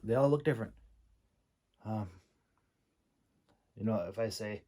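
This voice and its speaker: Slow, halting man with a lowish voice. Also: mellow. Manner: halting, hesitant, with many long pauses and repeated words. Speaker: audiobook narrator and manuscript critic. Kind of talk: informal, unscripted critique.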